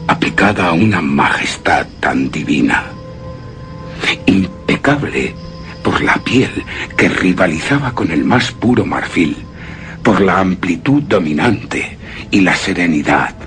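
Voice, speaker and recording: A grave, lovestruck man narrates a tale of horror and obsession. He speaks very slowly in a deep voice, savoring each phrase with solemn, reverent weight and hushed emphases. Soft music plays behind him. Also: heavily acted. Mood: rapt, brooding. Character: grave, obsessed man rapturously recalling his beloved's eerie beauty. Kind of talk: dramatic radio theatre monologue.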